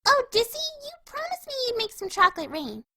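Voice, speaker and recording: A woman voicing an excitable, playful female cartoon pony with a squeaky, perky delivery. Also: fairly quick, dry recording, studio quality, exaggerated voice. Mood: sweetly demanding. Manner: perky, exclamatory. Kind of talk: fan fiction audio drama.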